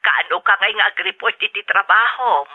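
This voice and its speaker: A woman's voice: mid-range voice, normal speed. A woman playing a caring, anxious older mother of a grown son, affectionate and solicitous, heard through a telephone filter. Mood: caring, concerned. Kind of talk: dramatic radio-style acting. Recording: narrow tinny telephone line.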